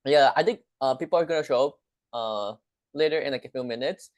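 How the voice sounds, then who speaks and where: A man's voice: medium pitch, fairly quick, no room sound. A man hosting online chemistry office hours, chatting casually with lots of fillers and repeated phrases over a video call. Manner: friendly, filler-heavy, repeats himself. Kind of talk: casual chat.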